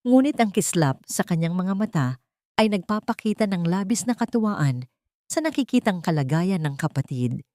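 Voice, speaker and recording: A woman's voice: fairly low pitch, expressive, moderate pace, a little mellow, dry recording, studio quality. A woman reading scripted dramatic story narration in a solemn manner.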